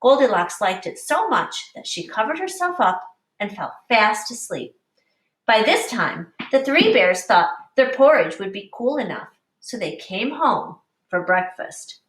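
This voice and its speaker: A woman teacher reading a picture book aloud to young children with deliberate, explanatory expression. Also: a little high, big pitch swings, fairly bright tone. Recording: echoey room, distant mic.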